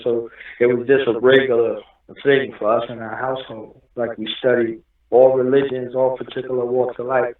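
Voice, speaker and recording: A man explaining his views at length, and he keeps checking in with "you understand what I'm saying." His voice arrives thin and phone-like, far from the mic in a very echoey space. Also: medium pitch. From Newark, New Jersey. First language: Arabic. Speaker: guest. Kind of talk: casual interview talk. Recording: echoey, distant phone-line audio.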